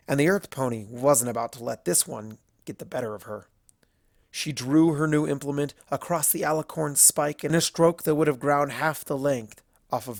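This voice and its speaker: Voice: mid-range voice, gravelly, darkish tone, no echo, studio quality. A narrator reading a scripted story aloud in a storytelling style.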